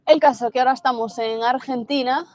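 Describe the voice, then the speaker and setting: A woman's voice: high-pitched, fairly even intonation, a little fast, a little mellow. Chatty Galician woman hosting a livestream. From Galicia, Spain. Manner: chatty, reads viewer greetings aloud. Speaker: co-host of travel livestream. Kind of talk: casual livestream chat.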